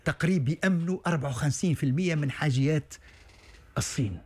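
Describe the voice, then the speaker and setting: A man's voice: a little high, rapid-fire, a little mellow, slightly rough. A man with a Tunisian Arabic accent, a guest analyst on a talk show, who often stalls and restarts mid-sentence. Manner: hesitant, many stalled restarts and fillers. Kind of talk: studio talk show discussion.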